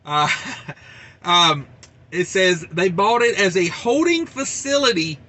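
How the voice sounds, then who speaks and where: A man with a high, bright voice hosts a casual trucking show. He reads news aloud and breaks in with folksy wisecracks and asides, loose and chatty throughout. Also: animated, slow pace. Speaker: trucker channel host.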